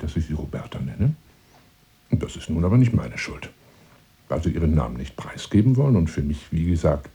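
A deep, pompous, lonely seducer. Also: male voice, warm tone, slightly rough, full character voice. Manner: grandiloquent, insinuating, swinging between whispers and declamation. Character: pompous, lonely, seductive male hunter monologuing at a woman. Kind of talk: theatrical radio drama monologue on the telephone.

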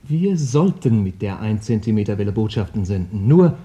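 Keen practical researcher, warm dark tone, animated, earnest, old radio drama. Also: male voice, fairly low pitch, moderate pace. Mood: keen, reasoning. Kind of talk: 1950s German radio drama acting.

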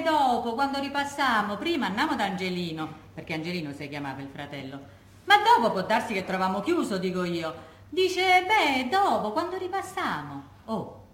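A working-class Roman widow in a stage monologue, recorded in an echoey room. She has a mid-pitched voice and a Roman accent. She retells wartime memories colloquially and vividly, acting out each person's words. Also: fairly bright tone, a little hiss or hum. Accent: Roman Italian. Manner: colloquial, vivid, re-enacting remembered dialogue. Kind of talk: theatrical monologue as oral testimony.

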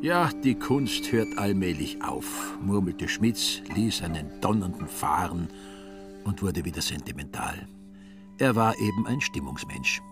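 An actor as the dryly wry, husky-voiced narrator of a satirical radio drama, reading literary prose. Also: male voice, low-pitched, expressive, measured pace, over music. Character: deep, husky, dry storyteller of a satirical radio play.

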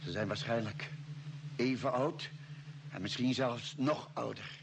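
Serious space crew member in an old radio play, measured, normal pitch, noisy recording. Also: male voice, vocal fry. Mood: sombre. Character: sober, thoughtful space expedition member telling the tale. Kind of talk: radio drama acting and narration.

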